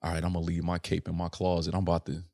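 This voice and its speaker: A man's voice: sits low, completely flat delivery, rapid-fire, mellow, no room sound, clean studio sound. A young adult man from Chicago, a rapper talking as a podcast guest in an earnest, reflective way, often checking in with "right?". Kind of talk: casual reflective interview chat.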